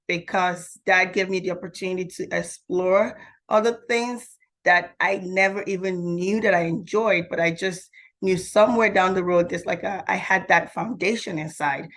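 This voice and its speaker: Female podcast guest, slightly low pitch, reflective and conversational. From Nigeria. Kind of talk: conversational interview answers.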